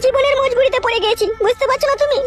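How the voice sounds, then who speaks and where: A woman's voice: very high-pitched, steady tone, rapid-fire, soft background music. A woman voicing a plaintive, coaxing widowed village woman in a comic cartoon. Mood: pleading. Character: pleading widowed village woman, apologetic but unyielding lover.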